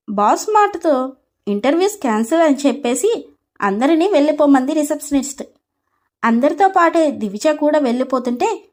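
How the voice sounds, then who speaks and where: A woman's voice: high-pitched, darkish tone. A woman storyteller reading scripted narration for a story.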